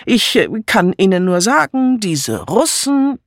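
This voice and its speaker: A man's voice: high-pitched, very animated, slow, fairly bright tone, dry recording, studio quality, pitched above the performer's own voice, full character voice. Man doing a blustering old colonel. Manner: blustering, hemming. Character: pompous old retired army colonel.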